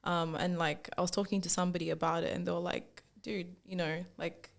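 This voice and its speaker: A woman's voice: lowish voice, a little fast, gravelly. A young woman from Zambia, a fashion brand founder, telling her story in a casual interview with lots of "like"s and acted-out quotes. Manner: animated storyteller, acts out quoted remarks, many "like"s.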